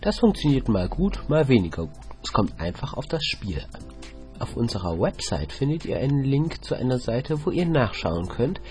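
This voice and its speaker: A man reads a scripted product review and speaks to the listeners as friends. His pitch rises and falls a lot as he talks. Faint music runs underneath. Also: very animated, normal speed, a little mellow. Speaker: podcast contributor.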